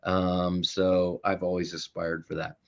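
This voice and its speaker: A man's voice: low voice, monotone, measured pace, highs cut off. A man speaking as a podcast guest, talking in a rambling way with many fillers. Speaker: soccer chaplain guest.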